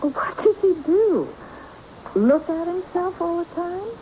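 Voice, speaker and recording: A woman's voice: extremely high pitch, lively intonation, measured pace, warm tone. An actress playing a protective, suspicious middle-aged mother who works as a cook and scolds in an old radio drama. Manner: scolding, hesitant when broaching delicate warnings. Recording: dull, noisy vintage radio drama recording.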